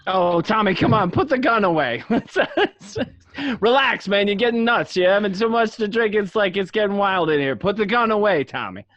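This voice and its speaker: Man playing an excitable, high-voiced gangster. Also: normal speed, dry recording, highs cut off. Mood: nervous pleading. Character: agitated male gangster, pleading and exasperated. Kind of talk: amateur radio drama acting.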